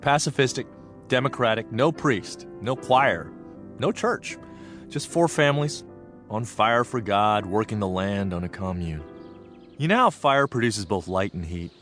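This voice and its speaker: Expressive American man reminiscing. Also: lowish voice, soft background music. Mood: reflective, earnest. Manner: expressive, deliberate storytelling with weighted pauses. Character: Japanese American man recalling his farm childhood, earnest, reflective. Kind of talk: audio theatre monologue, dramatic storytelling.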